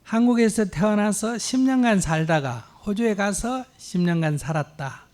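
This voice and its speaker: A man's voice: sits high, lively intonation, rapid-fire, darkish tone. A man, a Buddhist monk, patiently answering a question step by step with examples at a temple gathering. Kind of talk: dharma Q&A answer. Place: temple hall.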